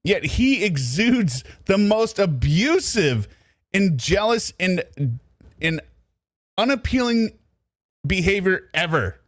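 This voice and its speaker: A man hosting casual true crime commentary, animated and riffing, laughing as he goes. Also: high-pitched, slow, no room sound, clean studio sound. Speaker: commentary host.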